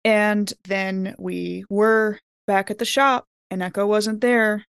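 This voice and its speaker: A woman's voice: medium pitch, steady tone, slow pace, creaky, clean tone, no room sound. A woman takes part in a tabletop game as a player. She banters casually in character with a mid-range voice, recorded close and dry.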